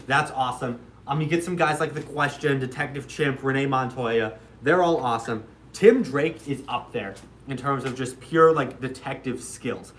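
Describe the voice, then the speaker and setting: A man's voice: mid-range voice, a bit bright, slight background noise. A young man chatting casually on a livestream, enthusiastic and talkative, swearing easily and acting out characters. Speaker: livestream co-host. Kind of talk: casual chat. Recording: echoey room, mic at a distance.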